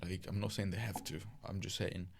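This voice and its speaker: A young Italian host speaks English with an Italian accent. His voice is fairly low with heavy gravelly fry, and he talks in a chatty, teasing way with his friend. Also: flat delivery, a little fast. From Italy. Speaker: podcast host. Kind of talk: casual conversation.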